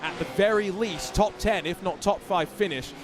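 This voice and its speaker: High-voiced male race commentator, chatty play-by-play, over a noisy mix. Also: normal speed. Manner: chatty, rambling with fillers. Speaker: sim racing commentator.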